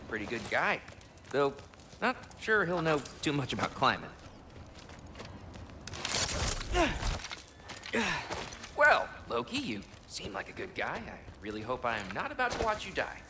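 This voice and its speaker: An actor playing a friendly, curious, excitable young refugee man in a video game, chatty and awkward, often stopping and starting. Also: on the high side, moderate pace, noisy background. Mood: chatty, concerned. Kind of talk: naturalistic video game acting.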